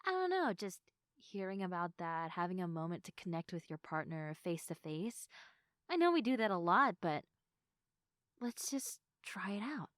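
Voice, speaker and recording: A young woman playing a sleepy, affectionate girlfriend in an intimate sleep-aid roleplay, speaking softly and tenderly to the listener in a giggly, hesitant way. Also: fairly low pitch, big pitch swings, moderate pace, no room sound, studio quality. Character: sleepy, affectionate girlfriend, gentle, playful and sincere. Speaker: audio roleplay performer.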